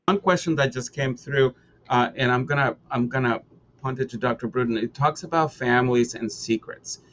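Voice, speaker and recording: A man's voice: mid-range voice, moderate pace, fairly bright tone. Muffled, slightly noisy line; male moderator, conversational, putting questions to panelists. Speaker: discussion moderator. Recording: dull, noisy video-call audio.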